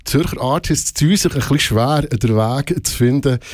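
A man's voice: medium pitch, normal speed, a little mellow, no room sound. A man hosting a casual radio interview in a studio, hesitant, with many fillers, dropping English phrases in. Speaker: radio show host.

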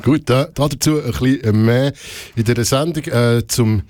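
A man's voice: mid-range voice, moderate pace, a little mellow, no room sound. Man hosting a radio show. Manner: hesitant, many fillers, mixes English phrases. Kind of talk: casual radio interview. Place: radio studio.

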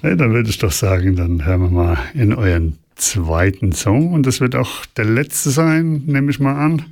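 A man's voice: mid-range voice, lively intonation, normal speed, vocal fry, a little mellow. A man with a Swiss German accent hosting a radio show, asking a guest plain friendly questions. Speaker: radio host. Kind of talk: casual radio interview.

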